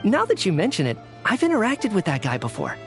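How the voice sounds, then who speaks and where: A man's voice: high voice, wildly swooping pitch, fairly quick, a bit bright, faint music behind, full character voice. A man playing an earnest, apologetic, theater-loving writer in scripted video game dialogue, conversational and candid.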